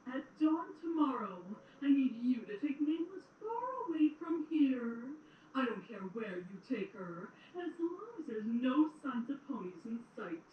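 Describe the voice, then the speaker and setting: A woman playing a cruel, menacing, imperious queen in an audio drama, theatrical and commanding with drawn-out words. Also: sits high, phone-quality sound. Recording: roomy, distant playback with some noise.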